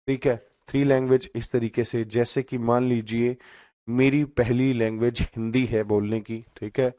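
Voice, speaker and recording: A man's voice: medium pitch, darkish tone, dry recording. Guy teaching exam prep, mid voice, dry close sound, keeps checking in with his students. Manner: explanatory, frequent "theek hai" checks.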